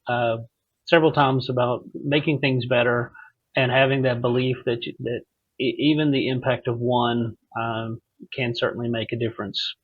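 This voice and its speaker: A man hosting a conversational interview podcast, speaking in a halting, encouraging way. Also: mid-range voice, husky, dull audio. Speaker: podcast host.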